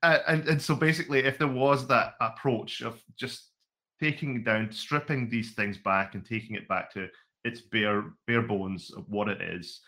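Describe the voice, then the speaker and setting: A man's voice: mid-range voice, normal speed, sharp tone. A Scottish man in his forties, a podcast co-host telling stories with wry, self-deprecating humor. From Scotland. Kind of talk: casual podcast chat. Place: home room full of vintage computers.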